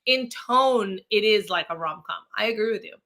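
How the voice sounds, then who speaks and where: Female guest from Mexico, bright forward voice, medium pitch, informal conversational storyteller. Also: moderate pace. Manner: chatty, self-quoting, informal. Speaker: interview guest, actress and film producer.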